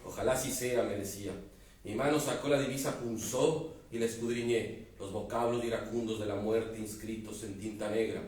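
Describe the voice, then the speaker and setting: A man's voice: fairly low pitch, steady tone, normal speed, darkish tone. A man with an Ecuadorian accent, an author presenting his novel at an embassy event and reading a passage aloud with growing dramatic emphasis. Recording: echoey room, distant mic, faint noise.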